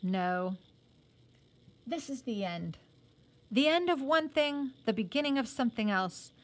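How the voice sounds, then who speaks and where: A woman's voice: on the high side, animated, measured pace. A woman voicing a solemn, resolute orphaned teenage boy in a storybook, speaking in an earnest, declarative way. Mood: solemn resolve.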